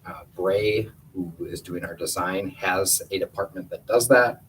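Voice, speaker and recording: A man's voice: low voice, unhurried, fairly bright tone. A man giving a plainspoken, conversational report at a school board meeting in a meeting room. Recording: distant room mic with faint hiss.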